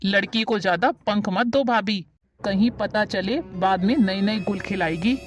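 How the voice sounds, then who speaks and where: A woman voicing a stern, controlling middle-aged uncle in an animated family drama. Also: medium pitch, measured pace, soft background music, close to an everyday voice. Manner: stern, dismissive, laying down rules.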